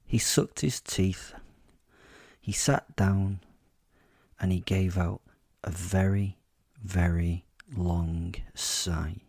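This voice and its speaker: A man narrating a first-person dramatic story as a wry, boastful werewolf, in a measured way with dramatic pauses. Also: deep voice, creaky, warm tone, no echo, studio quality. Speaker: narrator and host of a fiction podcast.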